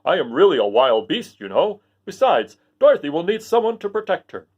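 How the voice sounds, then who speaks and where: A man's voice: on the high side, very animated, brisk, darkish tone, muffled recording, voice pushed up. A man voicing a proud, protective lion in a children's storybook, speaking in an emphatic, declamatory way. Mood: resolute. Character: proud lion, boastful and protective, loves the wild. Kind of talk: children's storybook read aloud.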